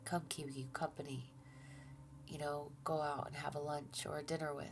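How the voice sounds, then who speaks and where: Low, gravelly woman with a stuffy nose. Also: little pitch movement, normal speed, background noise. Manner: soft-spoken, often drops to a near-whisper; gentle and reassuring, with fillers and restarts. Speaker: tarot reader. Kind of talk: relaxed tarot reading to viewers.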